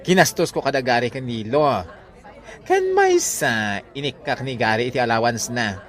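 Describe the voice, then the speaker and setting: A man's voice: medium pitch, sing-song, moderate pace, natural voice. Man playing Joyce, swooping camp Ilocano beautician. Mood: breezy, evasive. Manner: camp, exaggerated, coy. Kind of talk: radio comedy drama acting.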